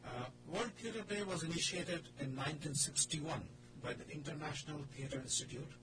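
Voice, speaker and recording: A man's voice: a little high, animated, brisk. A man with an Indian accent hosting a loose, informal radio talk show in a hesitant, discursive way. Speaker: radio drama club host. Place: radio studio with a group in the room. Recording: noisy radio recording with hum.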